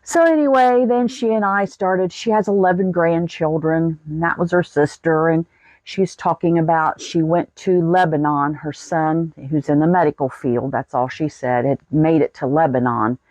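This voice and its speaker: A woman's voice: deep voice, fairly even intonation, normal speed, darkish tone, no room sound, rough recording. An American woman in her sixties telling a personal story in a folksy, plain-spoken way. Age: 60s. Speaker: vlogger.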